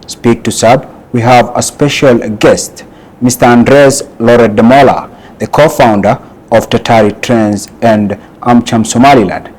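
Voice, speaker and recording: A man's voice: mid-range voice, unhurried, darkish tone, rough recording. Man hosting a TV interview. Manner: frequent short backchannels. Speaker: interview host.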